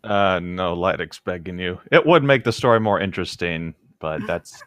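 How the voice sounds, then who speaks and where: A man's voice: low voice, normal speed, a bit bright, no room sound. A man co-hosting a podcast, chatting casually about a book with wry, loose reactions. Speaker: podcast co-host.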